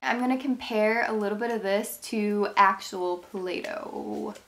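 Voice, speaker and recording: A woman's voice: mid-range voice, unhurried. Stuffed-up young woman reviewing crafts. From Ohio, USA. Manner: chatty, rambling, upbeat. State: stuffed up, nasal from a cold. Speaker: craft channel host. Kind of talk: casual product review vlog. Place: bedroom, indoors.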